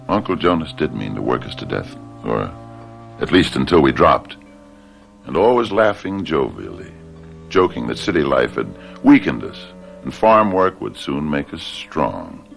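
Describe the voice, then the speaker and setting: A man's voice: a bass voice, very animated, measured pace, creaky, faint music behind, full character voice. An actor playing an anxious, down-on-his-luck nephew and father in a radio mystery drama, hesitant and earnest. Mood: brooding narration.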